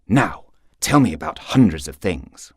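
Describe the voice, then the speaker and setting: A man voicing a brisk, self-possessed young woman milliner in a story, breezy, witty and talkative. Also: low-pitched, big pitch swings, breathy edge, no echo, clean studio sound, exaggerated voice. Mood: breezy, amused.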